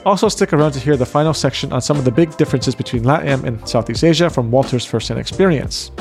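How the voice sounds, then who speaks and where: A man hosting an interview podcast, reading a measured intro and then asking questions in a friendly, chatty way. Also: mid-range voice, darkish tone, faint music behind. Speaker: podcast host. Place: Kuala Lumpur.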